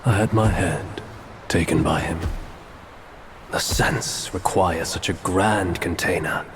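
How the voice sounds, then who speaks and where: An actor playing a serene male bodhisattva in a game cutscene, speaking in a measured, formal way. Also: sits low, over a noisy crowd. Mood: solemn.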